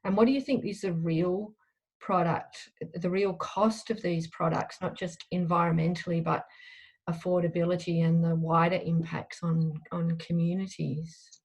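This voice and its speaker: A woman's voice: lowish voice, steady tone, moderate pace, darkish tone, husky, poor audio. A woman hosting an online workshop, asking the panel thoughtful questions. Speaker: workshop host. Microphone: video call.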